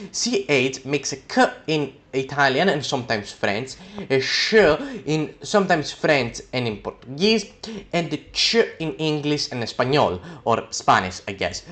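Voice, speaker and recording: A young man, a native Greek speaker, explaining letters and sounds in a loose, rambling way, with many ums and uhs. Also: medium pitch. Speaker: video creator explaining letters. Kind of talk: casual educational talk.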